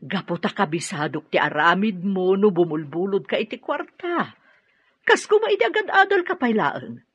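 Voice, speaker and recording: A woman's voice: medium pitch, sing-song, normal speed, a bit bright, breathy edge, dry recording. A woman with a Filipino accent acting a nagging, responsible elder sister in an Ilocano radio drama. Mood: scolding. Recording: dry, dull lo-fi radio drama audio.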